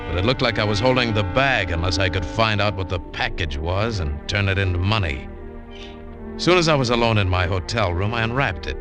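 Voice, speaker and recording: An actor playing a tough, suspicious American adventurer with a clipped, sardonic manner in 1940s radio drama. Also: male voice, fairly low pitch, lively intonation, a little fast, music in the background, natural voice. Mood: terse, wary. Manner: hard-boiled, clipped.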